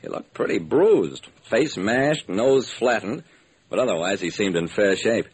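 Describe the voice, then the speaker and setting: A man's voice: on the low side, animated, measured pace, husky. A man narrating a scripted radio detective drama in the first person, telling it dramatically. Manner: dramatic storytelling.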